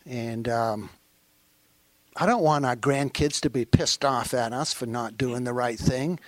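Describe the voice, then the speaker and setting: Slow, earnest man with a Maine accent. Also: mid-range voice, no echo. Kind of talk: panel remarks.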